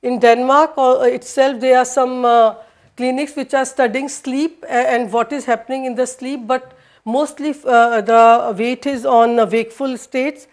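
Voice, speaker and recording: A woman's voice: high voice, steady tone, a little slow. A middle-aged woman whose first language is Hindi gives a university lecture in a room with a projector, recorded from a far camera. She hesitates often, with many fillers and restarts.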